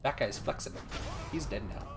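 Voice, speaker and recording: Soft-spoken young man near a whisper. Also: moderate pace, over music. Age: young adult. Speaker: streamer. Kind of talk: casual gaming stream chat. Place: room at home, late at night.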